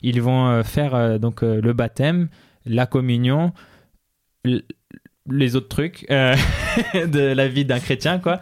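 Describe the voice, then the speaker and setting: Homme hésitant au débit haché. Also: male voice, medium pitch, slow, pauses often, darkish tone. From Belgium and Morocco. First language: Dutch. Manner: hesitant, many restarts and fillers, laughs often. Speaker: podcast co-host. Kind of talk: casual conversation.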